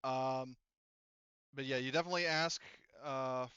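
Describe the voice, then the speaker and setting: A man with a smooth, clear voice acts as game master for a tabletop roleplaying game. He talks casually and haltingly, thinking aloud as he works out rules. The sound is close and dry. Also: mid-range voice, steady tone, bright, forceful tone, dry recording, dull audio.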